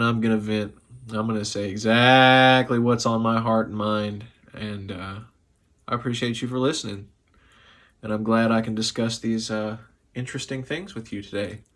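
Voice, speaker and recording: A young man who is sick and slightly hoarse, talking loosely and profanely to camera from his living room after a few drinks. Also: on the low side, a bit bright. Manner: profane, loose and chatty, a bit tipsy. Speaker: music vlogger. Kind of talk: casual rambling talk to camera.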